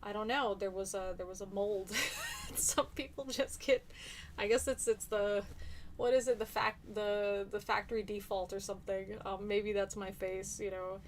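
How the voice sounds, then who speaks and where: A woman's voice: mid-range voice, fairly even intonation, moderate pace, slight background noise. A woman in her thirties chatting casually on a livestream, reading out viewer comments in a rambling way. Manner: chatty, rambling, many fillers. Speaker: livestream host and teacher.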